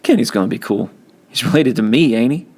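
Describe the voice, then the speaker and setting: A man's voice: mid-range voice, lively intonation, fairly quick, darkish tone, close to an everyday voice. A man voicing a cocky, streetwise teenage older brother with blunt, slangy talk in a novel reading. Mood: cool.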